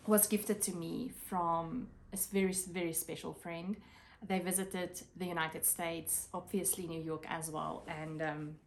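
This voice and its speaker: A South African woman whose first language is Afrikaans, chatting casually to camera about her craft projects, with many pauses and fillers. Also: on the low side, fairly bright tone. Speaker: craft vlogger.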